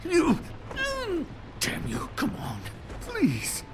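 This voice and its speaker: An actor playing a desperate, cowardly official in a video game, stammering and pleading to justify himself. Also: male voice, extremely high pitch, wildly swooping pitch, extremely slow, very noisy, heavily acted.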